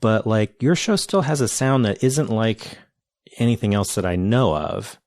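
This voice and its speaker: A man's voice: lowish voice, animated, unhurried, a little mellow, dry recording, studio quality. A man hosting a podcast interview, enthusiastic and searching for words with frequent restarts. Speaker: podcast host. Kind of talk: casual interview.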